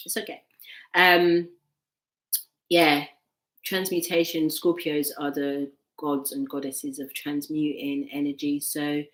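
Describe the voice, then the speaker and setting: Woman reading tarot in a roomy echo. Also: sits low. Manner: animated, veering from excited outbursts to soft murmuring. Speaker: tarot reader. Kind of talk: live-streamed tarot reading. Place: at home. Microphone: laptop microphone. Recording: echoey room, laptop mic.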